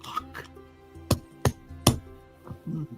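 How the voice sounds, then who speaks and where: A man's voice: very slow, music in the background. A man playing a tabletop roleplaying game, chatting casually in a jokey way with theatrical turns of phrase. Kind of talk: casual, animated game chat.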